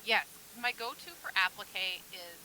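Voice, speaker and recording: A woman's voice: medium pitch, normal speed, bright, forceful tone, poor audio. A woman guest quilt designer in a casual chat, talking in an easygoing, hesitant way with frequent ums.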